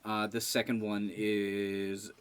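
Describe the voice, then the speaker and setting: A man co-hosting a podcast, talking about music in an informal, rambling, halting way. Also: lowish voice, monotone, clean tone. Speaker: podcast co-host.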